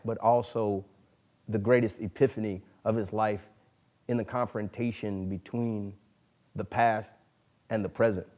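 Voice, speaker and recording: A man giving a formal literary reading, speaking in deliberate, oratorical phrases with emphasis on each one. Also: on the low side, darkish tone. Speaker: dramatic reader. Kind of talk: formal literary introduction and narration.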